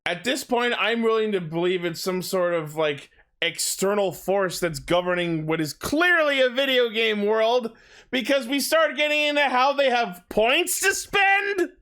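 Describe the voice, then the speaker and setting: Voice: mid-range voice, a little slow, a bit bright. A podcast host chatting casually through a TV recap in a sweary, incredulous, exasperated way. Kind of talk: casual recap chat about a TV show.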